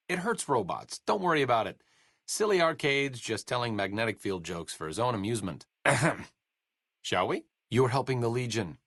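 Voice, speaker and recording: An actor playing a sardonic young scholar and medic in a video game, with dry, sarcastic wit. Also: male voice, medium pitch, a bit bright, no echo. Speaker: game companion character. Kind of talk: studio video game voice acting.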